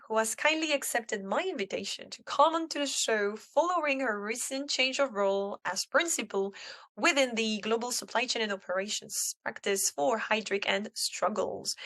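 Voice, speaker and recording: A woman hosting a career podcast, upbeat and welcoming as she interviews a guest. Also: a little high. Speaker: podcast host. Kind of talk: casual interview chat.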